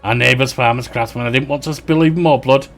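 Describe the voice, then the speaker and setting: A man's voice: mid-range voice, fast talker, creaky, a bit bright. A man voicing a loyal village steward who speaks with deference and pleads for mercy. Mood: apologetic.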